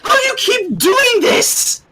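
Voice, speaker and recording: A man voicing a brash, excitable young female cartoon character who exclaims bluntly. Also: extremely high pitch, slow, voice pushed up, full character voice. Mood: exasperated.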